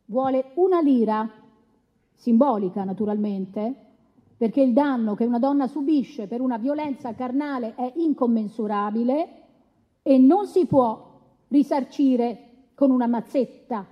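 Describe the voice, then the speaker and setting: A woman's voice: fairly high pitch, measured pace. A woman voicing an assertive, sardonic lawyer addressing a court. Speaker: lawyer for the victim. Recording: reverberant hall, dull lo-fi sound.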